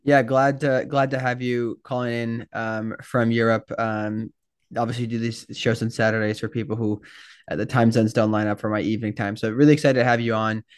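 A man's voice: medium pitch, level tone, brisk, clean tone, no echo, clean studio sound. Rambling male podcast host. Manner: friendly, rambling questions with restarts. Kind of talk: casual interview hosting.

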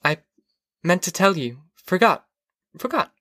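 A vague, detached wife acted by a male audiobook narrator speaks in a raised pitch. She sounds drowsy and offhand, halting as she goes. The recording is very dry. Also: big pitch swings, sharp tone, dry recording, exaggerated voice.

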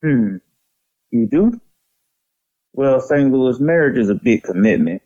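Man playing caring father, mellow, dull recording. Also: medium pitch, expressive, slow pace, no room sound. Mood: thoughtful. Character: caring, measured father advising his grown son. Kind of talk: audio drama acting.

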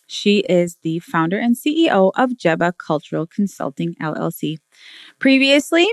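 A woman podcast host reads out her guest's introduction with eager, admiring warmth. Her voice sits at an ordinary middle pitch. The recording is dry and close, with no room around it. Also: no room sound. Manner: enthusiastic, admiring.

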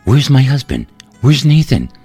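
A man's voice: medium pitch, huge pitch swings, a little slow, dark tone, slightly rough, faint music behind, voice pushed up, full character voice. A man voicing a small, powerful vampire woman in an audio story, loving and anguished, with a tearful, pleading manner. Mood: alarmed.

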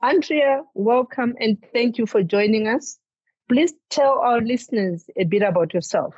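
A woman hosting a podcast interview, reading prepared questions at a measured pace. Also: a little high, lively intonation, a little mellow, breathy edge, dry recording. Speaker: podcast host. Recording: dull, lo-fi dry sound.

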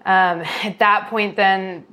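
A woman's voice: medium pitch, fairly even intonation, slow, pushed and bright. An American woman chatting casually as an interview guest, informal and laid-back with a wry touch. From United States, San Diego. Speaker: interview guest, mushroom grower. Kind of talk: casual chat.

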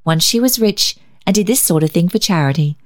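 A woman reading a story aloud as scripted narration, in an expressive, measured, dramatic way. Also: on the low side. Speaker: story reader.

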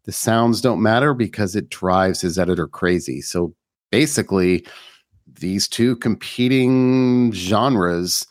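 A man's voice: fairly low pitch, slow, dry recording, studio quality. A man hosting an interview show, talking in a conversational, informal and explanatory way. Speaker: podcast host and interviewer.

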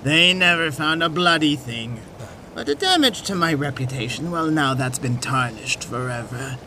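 A man's voice: fairly high pitch, lively intonation, normal speed, noisy background. An actor playing a disgraced old court official in an audio drama, rueful and pointed in his bitterness. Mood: bitter, resigned.

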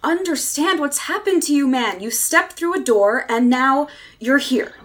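A woman's voice: high-pitched, lively intonation, measured pace. An actress playing a despairing, fearful woman stranded in a nightmare world, in dramatic old-time radio horror style. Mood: urgent, insistent. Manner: dramatic, anguished, haunted.

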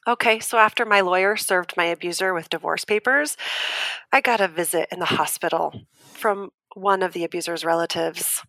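Audio drama: woman survivor on a debate panel, articulate and insistent, bright tone, very dry recording. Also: on the low side, moderate pace, no room sound, low-quality recording. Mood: earnest, explaining. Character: Julia, earnest, firm woman abuse survivor explaining patiently. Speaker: debate guest.

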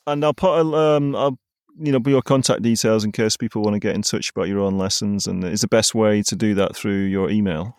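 A man's voice: lowish voice, brisk, a little mellow, no room sound. Chatty male interviewer, dry close sound. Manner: chatty, hesitant, with many you know and restarts. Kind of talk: casual interview.

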